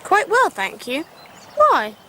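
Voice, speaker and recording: A woman's voice: extremely high pitch, wildly swooping pitch, slow pace, pushed and bright, a little hiss or hum, full character voice. A woman playing an anxious, headstrong young upper-class English girl in a Victorian radio drama, speaking earnestly and politely. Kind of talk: 1990s radio drama acting.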